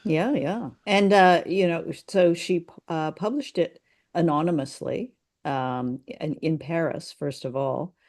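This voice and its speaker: A woman co-hosting a podcast, explaining things in casual discussion and thinking aloud in a halting way. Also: sits low, darkish tone. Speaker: podcast co-host.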